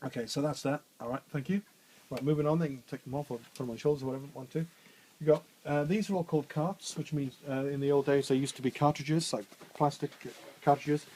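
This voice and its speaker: A man with a bassy voice, chatting informally through a radio studio training session with frequent fillers and restarts. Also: steady tone, fast talker, darkish tone, a little hiss or hum, rough recording. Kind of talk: hands-on technical demonstration.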